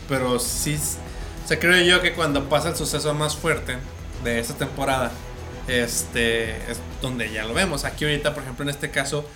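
A man's voice: medium pitch, sharp tone. A man with a Mexican accent chatting casually and explaining things at length, often restarting his sentences. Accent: Mexican Spanish. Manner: explanatory, stumbles and restarts while building a point. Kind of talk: casual chat about an anime series.

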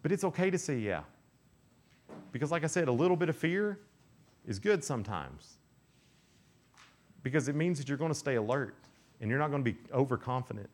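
A young man preaching to a room of teens in a loose, joking way. His pitch swings widely as he acts out little exchanges and exaggerates for laughs. He sounds relaxed and conversational rather than formal. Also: fairly quick, long pauses. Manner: animated, joking, acts out quoted voices. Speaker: youth camp speaker. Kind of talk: youth sermon.

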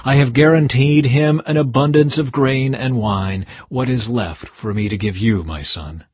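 A man voicing an old blind father who gives a solemn, slow blessing, with a husky voice. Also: mid-range voice, a little mellow, no room sound. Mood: troubled.

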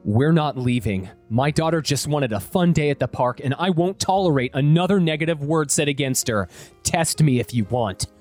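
A protective, hot-tempered dad played by a woman narrator, blunt and forceful in a storytelling video. The pitch moves a lot from phrase to phrase, over faint music. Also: animated, normal speed, natural voice. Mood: angry, defiant. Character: angry protective father standing up to bullies.

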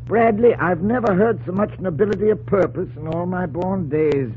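An actor playing a haughty, blustering general and governor in an old-time radio drama, pompous and dismissive. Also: male voice, high-pitched, normal speed, phone-quality sound, close to an everyday voice. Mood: mocking. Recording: thin, noisy old radio recording.

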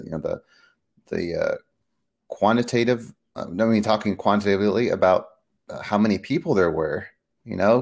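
A man's voice: on the low side, gravelly. A young man from Peru, a podcast guest, talking earnestly with many fillers. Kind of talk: casual interview chat.

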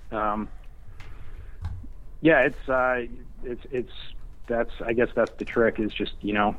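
A man's voice: lowish voice, a bit bright. Man on a tinny phone-like line. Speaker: screenwriter guest. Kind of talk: casual interview conversation.

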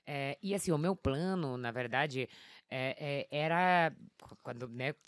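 A woman's voice: extremely low pitch, a little slow, no room sound, studio quality. A woman guest in casual conversation, telling her story in a chatty way with lots of fillers and acted-out quotes. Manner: chatty, many fillers, acts out quotes with laughter.

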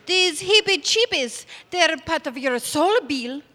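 A sultry, scheming apprentice sorceress in a comic stage radio play, speaking. Her voice is very high and swoops theatrically, drawn out at a slow pace. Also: animated, sharp tone, long reverb tail, full character voice. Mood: sly, businesslike. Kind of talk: comic audio theatre acting before a live audience.

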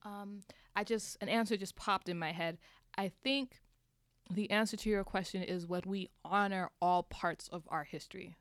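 A woman's voice: medium pitch, steady tone, moderate pace, no room sound, studio quality. A woman thinking aloud in a casual group discussion, hesitant and thoughtful. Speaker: discussion participant.

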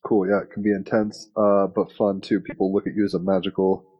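A man's voice: low-pitched, flat delivery, brisk, slightly rough, muffled recording. A man hosting a casual interview, rambling along in laid-back, slangy phrasing. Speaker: interviewer and host.

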